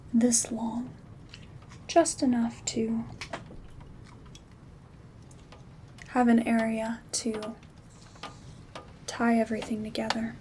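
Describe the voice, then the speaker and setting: Slow, hushed woman as a gentle witch. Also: fairly high pitch. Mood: gentle, reassuring. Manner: soothing, unhurried, intimate. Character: gentle, caring female witch herbalist. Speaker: ASMR performer. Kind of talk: ASMR roleplay, soft-spoken and whispered. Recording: soft rain and handling noise. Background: rain sounds, craft rustling.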